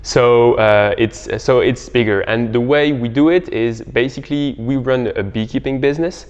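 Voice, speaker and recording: A young man giving halting, explanatory answers as an interview guest. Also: mid-range voice, clean tone. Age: young adult. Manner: halting, hesitant phrasing. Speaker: startup co-founder, interview guest. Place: bright indoor living room. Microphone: clip-on lapel mic. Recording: muffled, reverberant room.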